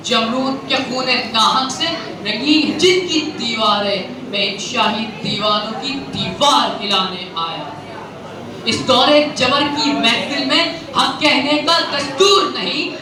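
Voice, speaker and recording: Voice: mid-range voice, takes it slowly, a crowd in the background. A student speech contestant with a Pakistani accent delivers a fiery, poetic declamation in a theatrical, rhetorical manner, repeating phrases for emphasis. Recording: very echoey, distant mic, noisy venue recording.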